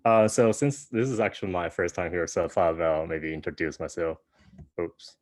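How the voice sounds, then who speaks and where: A young man from Taiwan giving an online academic talk, soft-spoken with many fillers and restarts, over a video call. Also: sits low, fairly even intonation, normal speed, dry recording. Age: young adult. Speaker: guest speaker.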